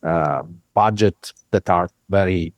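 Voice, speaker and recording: A middle-aged man with an Italian accent, a non-native English speaker, explaining things in a measured way as an interview guest with frequent "uh" pauses. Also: low-pitched, no room sound. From Italy. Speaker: guest, software founder. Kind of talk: conversational explanation.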